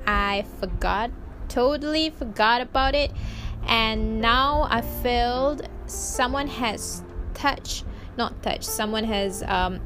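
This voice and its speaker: A woman whose first language is Malay, speaking English slowly in a casual reflection with frequent pauses and restarts. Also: a little high. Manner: slow, searching, many restarts. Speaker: vlogger practicing English.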